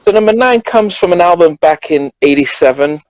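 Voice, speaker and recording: A man's voice: sits high, expressive, brisk, no echo, rough recording. A man with a British accent chatting casually as a guest, hesitant and repeating words as he thinks. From United Kingdom. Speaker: guest, journalist. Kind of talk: casual chat.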